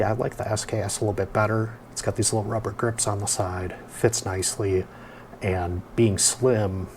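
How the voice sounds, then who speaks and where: A man with a lowish, creaky voice talks through a casual product demonstration. His tone is mellow and unhurried as he explains each step. There is faint noise behind him. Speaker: product reviewer and host. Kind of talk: casual hands-on product review.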